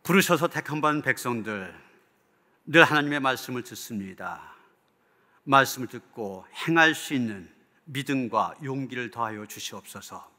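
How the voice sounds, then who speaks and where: A man's voice: mid-range voice, lively intonation, moderate pace, pushed and bright. A man preaching as a pastor in an earnest, emphatic and rhetorical manner. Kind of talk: sermon preaching, closing in prayer. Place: church hall. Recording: long hall reverb.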